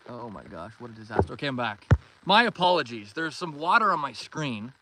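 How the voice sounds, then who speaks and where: A man with a Canadian accent hosts a casual fishing livestream in a medium-pitched voice. He is friendly and welcoming, greeting viewers and reading their comments aloud. He is recorded outdoors in a canoe, with clear background noise and small clicks. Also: very animated, a little fast. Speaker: livestream host, angler. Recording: noisy outdoor recording with water and canoe sounds.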